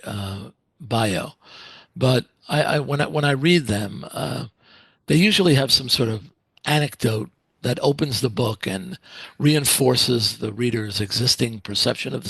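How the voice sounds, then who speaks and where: A man's voice: medium pitch, vocal fry, a bit bright, husky, no room sound. A man hosting a podcast interview, hesitant and repeating his words as he asks questions. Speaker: podcast host.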